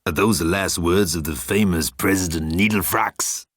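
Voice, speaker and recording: A man's voice: deep voice, moderate pace, dry recording, clean studio sound. A man voicing a pompous, self-pitying god who guards a bridge, easily offended and declamatory, in an audiobook. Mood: stern.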